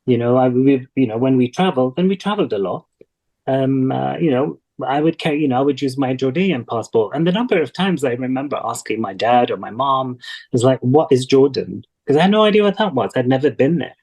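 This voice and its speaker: A man from Kuwait, speaking thoughtfully and deliberately in a reflective interview over a video call. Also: medium pitch, expressive, darkish tone, low-quality recording. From Kuwait, of Palestinian parents. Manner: thoughtful, deliberate, with repetition for emphasis. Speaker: interview guest. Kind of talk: reflective personal interview talk.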